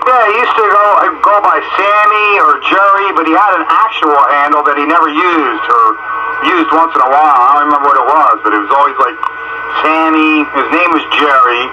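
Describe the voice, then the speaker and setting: A man's voice: fairly high pitch, moderate pace. An American man chatting casually over two-way radio as a ham operator. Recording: noisy muffled two-way radio transmission.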